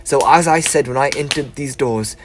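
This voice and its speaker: A man's voice: mid-range voice, normal speed, soft background music, close to an everyday voice. A young man acting as a cheerful, earnest nephew in a school stage play, pleading and speechmaking. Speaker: stage actor.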